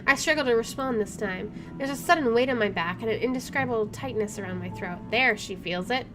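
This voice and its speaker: A woman's voice: on the high side, a little fast. A woman hosting a let's play, reading story narration aloud and adding casual asides. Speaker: gaming video host. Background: game music.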